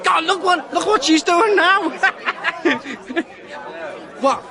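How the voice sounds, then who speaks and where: An actor playing a chatty, excitable small-time chancer in a radio drama, with a Liverpool accent and a rambling, matey manner. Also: male voice, very high-pitched, very slow, full character voice. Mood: amused. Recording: busy outdoor crowd and traffic behind. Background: street crowd, vehicles.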